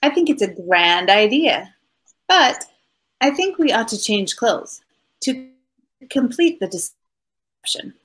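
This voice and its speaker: Woman acting lively, arch detective's wife. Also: on the high side, slow pace, long pauses, heavily acted. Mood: game, breezy. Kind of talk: old-time radio mystery acting. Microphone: video call. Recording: faint music behind.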